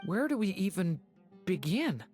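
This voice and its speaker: An earnest, apologetic young boy from a fantasy video game. The voice sits fairly low for a female one and moves expressively, hesitant and gentle. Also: very animated, a little slow, soft background music. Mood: unsure. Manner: hesitant, soft-hearted.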